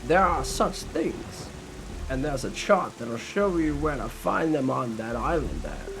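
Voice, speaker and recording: A man's voice: medium pitch, very animated, measured pace, vocal fry, music in the background, voice pushed up. A man voicing a cocky fish in a video game, teasing and brusque as he hands out condescending advice.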